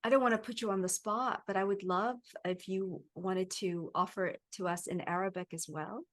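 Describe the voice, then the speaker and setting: A woman hosting an interview podcast who listens closely, affirms often with short replies, and asks her questions gently. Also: medium pitch, fairly even intonation, moderate pace. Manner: warm affirming listener, lots of "mm-hmm" and "yes". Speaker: podcast host.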